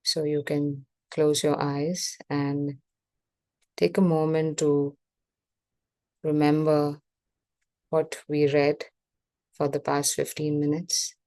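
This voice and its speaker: A woman leading a guided meditation as facilitator, speaking in measured short phrases with pauses between them. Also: sits low, level tone, a little mellow, no room sound.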